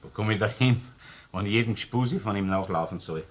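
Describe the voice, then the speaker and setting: A middle-aged man with a Viennese accent playing a shrewd, brisk senior police inspector in a radio crime drama, colloquial and gruffly businesslike. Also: lowish voice, natural voice. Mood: skeptical. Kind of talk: German-language radio crime drama acting.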